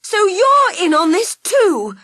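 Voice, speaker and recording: A woman's voice: medium pitch, expressive, a little slow, husky, no room sound, studio quality. A woman voicing a feisty young girl.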